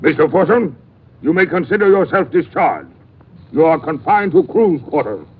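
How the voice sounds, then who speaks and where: An actor playing a strict, pompous ship captain in a 1950s radio drama. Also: male voice, on the high side, very animated, moderate pace. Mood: stern. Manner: stiff, authoritative. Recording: tinny noisy 1950s radio broadcast.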